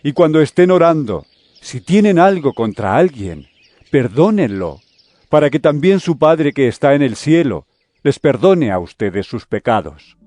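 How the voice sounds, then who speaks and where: Casting: firm holy teacher, higher-voiced and lively in inflection, solemn declaiming, dramatized Bible audio. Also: male voice, unhurried, no room sound. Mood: earnest teaching. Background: music.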